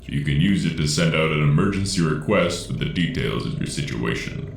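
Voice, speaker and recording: A man playing an ancient, cryptic alien voice inside a soldier's head in a sci-fi audio drama, measured and commanding with an echoing effect. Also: lively intonation, slight background noise, full character voice. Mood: calm, knowing. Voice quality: very deep, gravelly. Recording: echoey, distant, music behind.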